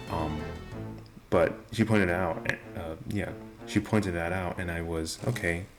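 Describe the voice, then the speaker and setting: Young man, deep low voice, off R sounds, rambling vlog, music behind. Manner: rambling, self-deprecating, many restarts. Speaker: vlogger. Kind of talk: casual rambling monologue. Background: video game music playing.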